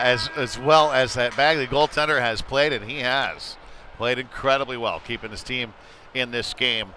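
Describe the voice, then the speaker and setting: Male sports commentator, mid-range voice, conversational live hockey call over clear arena noise. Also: medium pitch, bright, forceful tone. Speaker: play-by-play commentator. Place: indoor youth hockey arena. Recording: noisy arena with clattering ambience.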